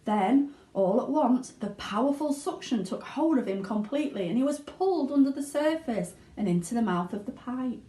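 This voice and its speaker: A woman narrates a children's story in a fairly high voice. Her delivery is dramatic and very animated, and the room adds noticeable echo. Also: normal speed, hardly any pauses. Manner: dramatic, expressive. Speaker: storybook reader, school teaching assistant. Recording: roomy indoor echo.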